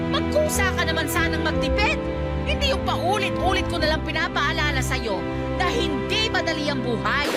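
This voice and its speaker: A harsh Filipino aunt berates and nags in a high voice. Her delivery is sarcastic and cutting, in radio drama style, over a loud music bed. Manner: sharp, sarcastic, nagging. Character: harsh, stingy, scolding aunt of the household.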